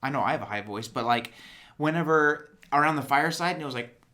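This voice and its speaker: A young man chatting casually about a TV episode, excitable and slangy with lots of fillers. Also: medium pitch, fast talker.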